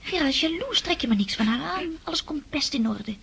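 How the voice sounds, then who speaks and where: A warm-hearted young girl in a radio drama. Her voice is high and very animated, eager and soothing. A faint background ambience sits behind her. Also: fairly quick, vocal fry, slight background noise, exaggerated voice. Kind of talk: radio play acting.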